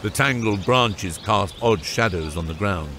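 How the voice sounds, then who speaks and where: A man's voice: on the low side, a little slow, fairly bright tone, slight background noise. A man narrating a scripted fantasy audiobook.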